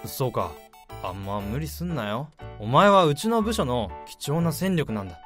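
A man's voice: mid-range voice, sing-song, moderate pace, faint music behind, natural voice. An actor playing an easygoing middle-aged section chief in a radio drama who talks to his old colleague in a casual, reassuring way. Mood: breezy. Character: easygoing male manager, friendly to his old peer.

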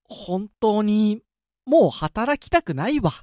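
A man's voice: high-pitched, big pitch swings, takes it slowly, a little mellow, dry recording, clean studio sound, heavily acted. A man playing an overworked, exasperated younger office worker in a radio drama, complaining in casual but polite speech. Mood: weary. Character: exasperated overworked married salaryman, junior colleague.

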